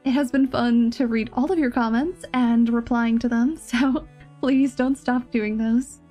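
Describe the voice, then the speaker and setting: High-voiced woman, nasal from a cold. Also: fairly even intonation, unhurried, warm tone, faint music behind. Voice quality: nasal, stuffed-up. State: mildly sick with a cold. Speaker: voice actor, channel host. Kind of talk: casual update to listeners.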